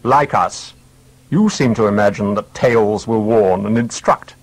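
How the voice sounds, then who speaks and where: A man's voice: lowish voice, a little slow, dry recording, low-quality recording. A man voicing a talking wolf in a storybook fable, wry, patient and a little condescending. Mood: wryly superior.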